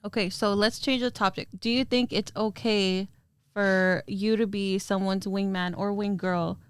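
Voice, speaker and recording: Young female podcast host, curious and giggly, crisp tone. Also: mid-range voice, fairly even intonation, measured pace, no echo. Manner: chatty, laughs often, asks questions. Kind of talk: casual chat.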